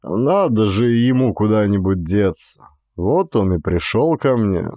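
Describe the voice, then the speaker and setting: Male reader as big dignified peasant man, drawn-out staid speech, hospitable, audiobook drama, dry sound. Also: lowish voice, expressive, dark tone, dry recording. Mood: unhurried, staid.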